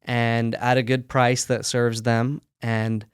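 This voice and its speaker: Smooth-voiced man telling his story. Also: mid-range voice, no room sound, clean studio sound. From United States. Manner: relaxed storytelling with frequent fillers and quick laughs. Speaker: sock company founder, guest. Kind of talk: casual podcast interview.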